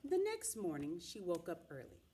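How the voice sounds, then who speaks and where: A middle-aged woman reading a children's picture book aloud in an expressive, dramatic way, drawing out the sound words. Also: on the low side, moderate pace, slight background noise. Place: small indoor room with wall cards and pillows.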